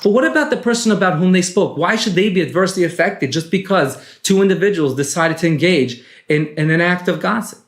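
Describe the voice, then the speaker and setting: A male rabbi speaks in a higher register than most men. He lays out teachings in a measured, step-by-step way. His voice rings a little in an echoey room. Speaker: rabbi and author. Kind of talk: explanatory talk on teachings.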